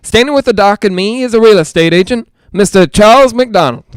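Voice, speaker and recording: A man's voice: high voice, fairly quick, clean tone, dry recording, clean studio sound, close to an everyday voice. A man playing a chatty, skeptical radio ghost hunter in a 1940s-style audio drama, with folksy joking patter. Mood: chatty showman.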